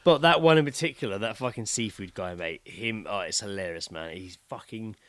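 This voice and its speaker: A man's voice: fairly low pitch, fairly quick, a bit bright, no echo. A British man co-hosting a casual comedy podcast, talking bluntly and emphatically with plenty of swearing. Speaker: podcast co-host.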